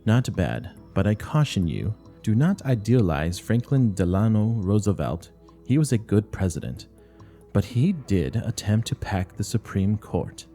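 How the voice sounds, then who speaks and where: A man's voice: fairly low pitch, sing-song, measured pace, dark tone, soft background music. A man voicing a strict older nun who runs a school, speaking curtly and moralizing in a play reading. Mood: brisk, watchful. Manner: curt, severe, moralizing.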